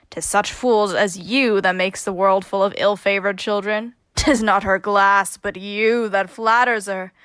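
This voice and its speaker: A woman's voice: medium pitch, fairly bright tone, dry recording, natural voice. A woman acting a bossy, mocking heroine disguised as a youth in a Shakespearean radio play, scolding and teasing theatrically.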